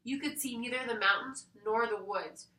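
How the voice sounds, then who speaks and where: A woman reading a children's story aloud in an expressive storytelling style. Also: on the high side, normal speed. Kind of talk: expressive scripted storybook narration. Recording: roomy echo, distant mic.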